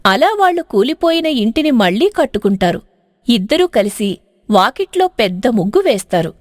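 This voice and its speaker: High-pitched, animated woman storyteller. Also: normal speed, no room sound, studio quality. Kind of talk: dramatic scripted story narration.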